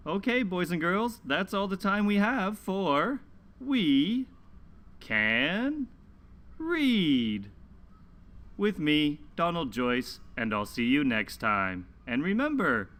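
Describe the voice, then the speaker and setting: A man hosting a children's read-along, reading aloud and teaching in deliberate, simple phrases for young learners. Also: on the high side, sing-song, a bit bright. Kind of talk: slow, scripted children's read-aloud lesson.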